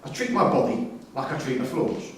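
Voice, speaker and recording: Defiant prisoner in stage play, blunt, highish voice, distant from the mic with some noise. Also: male voice, sing-song, a little fast. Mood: cocky, insistent. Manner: blunt, sweary, combative. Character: defiant male prisoner, a father, quick to anger. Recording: distant echoey hall with background noise.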